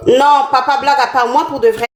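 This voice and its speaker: A woman's voice: high voice, steady tone, fairly quick. Caller, woman in her 30s from Canada, earnest and plain-spoken, roomy echo on the line. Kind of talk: live call-in chat.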